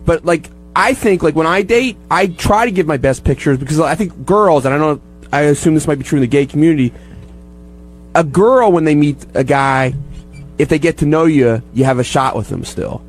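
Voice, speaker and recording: A man chatting casually on a talk show, explaining himself in a halting, rambling way. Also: on the high side, animated, soft background music. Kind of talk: casual chat.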